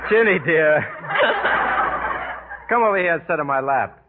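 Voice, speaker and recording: A man's voice: on the high side, animated, moderate pace, very noisy, natural voice. A man playing a sly, wheedling, folksy boyfriend in a 1940s radio comedy sketch. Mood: sweet-talking. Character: sly, sweet-talking two-timing boyfriend in comic melodrama. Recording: tinny old radio recording over music.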